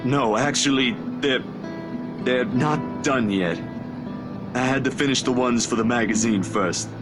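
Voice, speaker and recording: A man's voice: mid-range voice, measured pace, lightly acted. A man voicing a modest, earnest young photographer in a visual novel, hesitant and self-effacing. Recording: music behind the voice.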